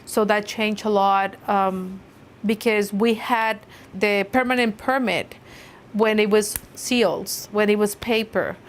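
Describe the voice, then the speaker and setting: Middle-aged mother, earnest and halting. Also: mid-range voice, steady tone, slight background noise. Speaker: interviewee, mother. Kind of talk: interview narration.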